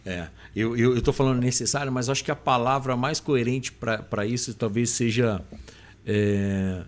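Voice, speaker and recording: A man's voice: medium pitch, steady tone, normal speed. A man with a Brazilian Portuguese accent hosting a live podcast, chatting informally and affectionately with listeners. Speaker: podcast host. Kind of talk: casual live podcast chat, reading out chat greetings.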